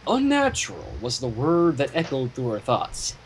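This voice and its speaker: A man reading fan fiction aloud in an expressive way, with casual asides. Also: mid-range voice, normal speed, low-quality recording. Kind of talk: story narration with casual commentary. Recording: home microphone with clear noise.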